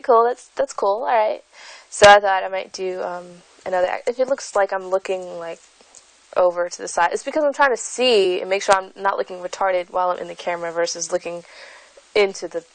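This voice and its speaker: A woman's voice: mid-range voice, no room sound, low-quality recording. A young woman vlogging from home, rambling and self-conscious with frequent giggles, filmed on a phone held at arm's length. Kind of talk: casual rambling vlog.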